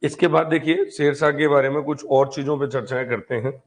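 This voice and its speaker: A man's voice: on the high side, steady tone, fairly quick. A man teaching an online history class conversationally, repeating key points and posing rhetorical questions as he goes. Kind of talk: live online exam-prep lecture.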